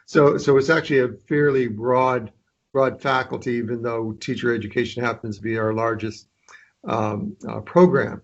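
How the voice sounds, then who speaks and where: A man's voice: mid-range voice, fairly even intonation, moderate pace. A man speaking on a conference call, hesitant and full of restarts, offering to coordinate with other deans. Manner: hesitant, many restarts and fillers. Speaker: dean, association member. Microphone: conference line. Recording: dull lo-fi call audio.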